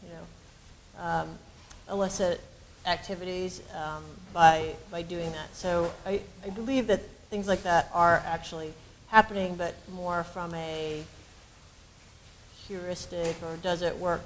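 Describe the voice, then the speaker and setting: A woman's voice: fairly low pitch, steady tone, a little slow, slight background noise, rough recording. A woman on a university panel, explaining ideas in a searching, thoughtful way with frequent ums. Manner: explanatory, many fillers and restarts. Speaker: panelist and researcher. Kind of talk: academic panel discussion.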